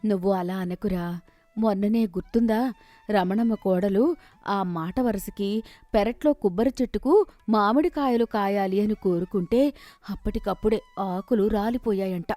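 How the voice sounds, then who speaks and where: A woman's voice: medium pitch, moderate pace, mellow, no room sound, faint music behind, natural voice. Woman playing a cautioning village mother, dry sound. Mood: worried. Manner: chiding, cautioning. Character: worried, superstitious village mother of grown son. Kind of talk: animated moral story acting.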